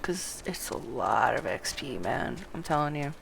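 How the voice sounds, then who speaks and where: A woman's voice: rumbling low, very animated, measured pace, gravelly. A woman game streamer giving a casual walkthrough, chatting and reading quest text aloud.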